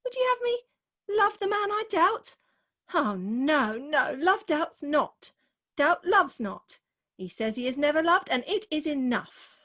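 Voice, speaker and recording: A woman's voice: sits high, very animated, measured pace, sharp tone, exaggerated voice. A woman playing a sentimental, jealous young bride in a Victorian comic radio play, with an earnest, declamatory delivery. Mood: anxious, dramatic. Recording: remote home video-call recording.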